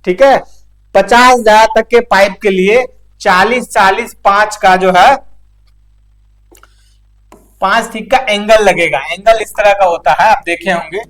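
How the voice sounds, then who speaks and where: A man's voice: sits high, measured pace, pauses often, a bit bright, poor audio. A man giving an informal practical technical lecture, explaining step by step with frequent check-in tags. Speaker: instructor.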